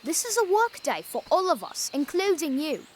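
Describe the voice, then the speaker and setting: A woman's voice: very high voice, very animated, normal speed, slight background noise. A woman playing a bossy, teasing, hard-working village child in a historical audio drama, mocking and incredulous. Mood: scolding, incredulous.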